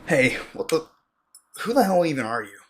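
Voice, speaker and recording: A man's voice: fairly high pitch, big pitch swings, moderate pace. A man playing a frightened but defiant skeptic in a horror audio drama, agitated and confrontational. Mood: startled, defiant. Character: frightened, defiant, foul-mouthed male skeptic cornered by a stranger.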